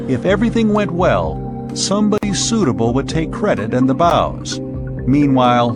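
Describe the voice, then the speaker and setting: A male narrator reads a science fiction audiobook in a voice of medium pitch. The delivery is scripted storytelling, and music plays clearly behind the voice throughout.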